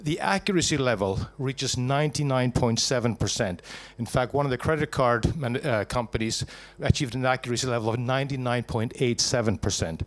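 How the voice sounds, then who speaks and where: A Scottish man giving a live startup pitch to an audience, jokey and punchy, asking and answering his own questions. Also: a little fast, hardly any pauses. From Scotland.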